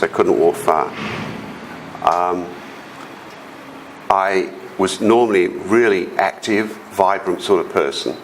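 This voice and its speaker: A man's voice: sits low, slight background noise, low-quality recording. A middle-aged man with an Australian accent and a big voice, telling personal stories as a conference speaker and acting out the voices of the people he quotes. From South Australia. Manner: animated storytelling, acts out quoted voices. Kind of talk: storytelling presentation. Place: conference hall.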